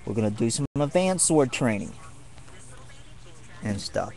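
Slow, halting young man in a tent. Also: medium pitch, animated, darkish tone. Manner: halting, many ums and pauses. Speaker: martial arts vlogger. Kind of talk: rambling casual vlog. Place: tent outdoors while camping.

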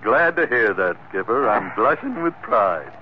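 An American actor playing a loyal, joking first mate in a 1940s radio sea adventure. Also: male voice, medium pitch, very animated, measured pace. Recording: muffled, noisy 1940s radio recording.

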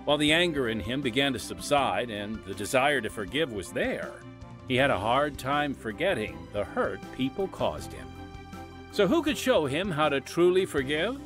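A man's voice: medium pitch, sing-song, moderate pace. A man hosting and narrating an audio drama podcast in a scripted storytelling style. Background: music under the voice.

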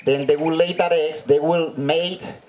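A man's voice: on the high side, steady tone, a little slow, a little mellow. Honduran-accented man giving an outdoor talk. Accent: Honduran Spanish. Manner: patient, explanatory, with a microphone. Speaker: extension entomologist. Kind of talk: outdoor field day presentation. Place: large open tent outdoors. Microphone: handheld microphone over PA speakers.

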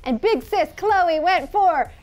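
High-voiced young American woman, emphatic and chatty. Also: animated, slow, darkish tone. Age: young adult. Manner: gushing, emphatic, casual. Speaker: fashion show co-host. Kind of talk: chatty fashion commentary. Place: indoor studio set. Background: music.

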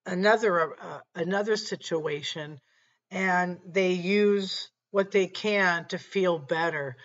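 A middle-aged woman with a slightly raspy voice, telling a personal faith story earnestly to her webcam at home. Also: on the low side, muffled recording. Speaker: channel host. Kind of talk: faith-based personal talk to camera. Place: small room at home, indoors.

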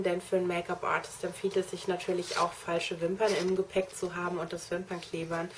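A woman's voice: on the low side, slight background noise. A young woman chatting enthusiastically to her viewers in a casual show-and-tell vlog, addressing them directly. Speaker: make-up artist trainee showing her kit.

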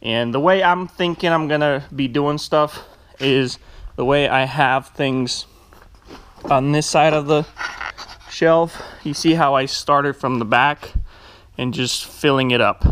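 A man's voice: on the high side, measured pace, fairly bright tone, smooth. A young man giving an informal walk-through, thinking aloud into a handheld action camera in a large warehouse. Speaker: vlogger, small business owner. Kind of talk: casual walk-through vlog narration. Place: large metal warehouse, indoors. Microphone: handheld action camera at arm's length.

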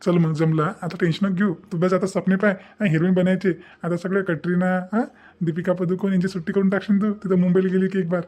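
A man's voice: high voice, flat delivery, fast, a little mellow, close to an everyday voice. A man voicing a pushy, glib young village boyfriend in a cartoon, with a Vidarbha Marathi accent. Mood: encouraging. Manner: coaxing, glib. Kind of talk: amateur cartoon dialogue.

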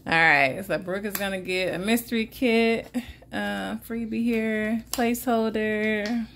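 A woman, chatting casually to her viewers while packing orders, animated and addressing them as "guys" again and again. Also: mid-range voice, unhurried, slight background noise. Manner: animated, repeats "guys" and "like I said", laughs often. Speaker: budgeting vlogger and shop owner.

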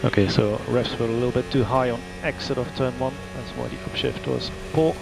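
A man's voice: mid-range voice, fairly even intonation, brisk, creaky, over music. A male race engineer giving clipped, matter-of-fact instructions to a driver over team radio, repeating key phrases. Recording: noisy team radio with car sounds.

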